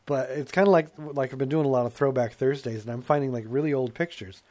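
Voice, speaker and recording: A man's voice: medium pitch, fast talker, darkish tone. A middle-aged man with a mid-range voice co-hosts a casual, comic talk show. He rambles in a self-mocking way and acts out his own quotes as he goes.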